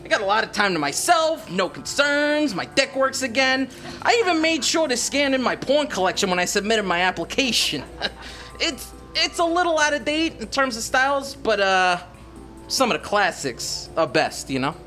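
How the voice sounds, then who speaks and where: A man's voice: extremely high pitch, moderate pace, soft background music, full character voice. A man playing a crude, laid-back slacker. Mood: smug. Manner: relaxed and crude, laughing often. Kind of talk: comedic audio drama acting.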